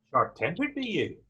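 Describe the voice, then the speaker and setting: A man playing a taunting, defiant Irishman in a readers theater drama, with a husky voice, an Irish accent and a mocking, wordy old-fashioned way of talking. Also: high voice, pushed and bright, full character voice. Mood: scornful, defiant. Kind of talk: readers theater stage acting.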